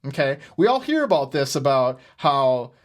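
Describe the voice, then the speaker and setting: A middle-aged man with an American accent giving unscripted podcast commentary in an earnest, halting manner, often repeating words. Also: medium pitch, very animated. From United States. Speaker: podcast host. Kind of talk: unscripted political commentary with personal stories.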